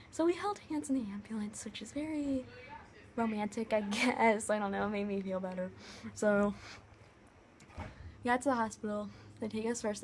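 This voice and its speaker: A young woman vlogger with a high-pitched voice, casually retelling a story to camera, full of "like" fillers. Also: slight background noise. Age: young adult. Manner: chatty, many "like" fillers, animated retelling.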